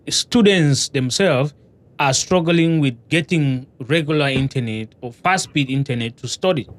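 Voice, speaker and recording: A man's voice: a little high, a bit bright. A man in a casual discussion, talking with hesitation and lots of restarts. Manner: hesitant, many fillers and restarts.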